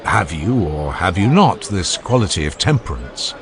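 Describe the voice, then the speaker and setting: A man playing an older philosopher who questions patiently and courteously in formal, measured phrases. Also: deep voice, big pitch swings, crowd noise. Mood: courteous, probing. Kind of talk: dramatized philosophical dialogue.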